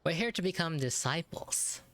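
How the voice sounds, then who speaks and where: A man's voice: on the high side, animated, normal speed, over music. A man giving casual, slangy, amused commentary while playing a game. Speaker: gamer reacting to game. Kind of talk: casual gameplay reaction commentary.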